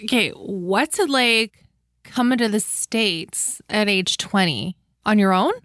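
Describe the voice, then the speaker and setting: A woman's voice: medium pitch, animated, takes it slowly, a bit bright, no room sound, studio quality. A woman from Canada hosting a podcast, asking curious, attentive follow-up questions. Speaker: podcast host. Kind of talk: interviewing a guest.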